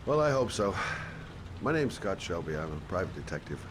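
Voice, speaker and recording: A man doing a deep-voiced weary private eye. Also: very animated, brisk, noisy background. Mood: earnest. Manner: soft-spoken, earnest, sympathetic. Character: weary middle-aged male private detective, gentle.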